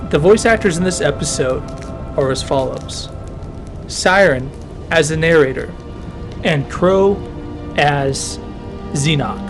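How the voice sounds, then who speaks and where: A man announcing an audio drama in a scripted, theatrical and measured style. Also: fairly high pitch. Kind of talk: scripted episode outro and credits.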